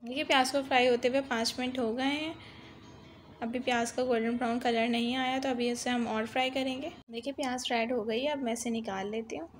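Cooking host, woman, high pitch, patient instructive voice-over. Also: fairly even intonation, brisk, faint music behind. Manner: instructive, repeats "dekhiye" to guide viewers.

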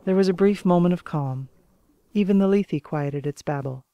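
A woman's voice: lowish voice, normal speed, highs cut off, natural voice. A woman reading an audiobook as a composed, wry author character who narrates and debates. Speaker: audiobook reader.

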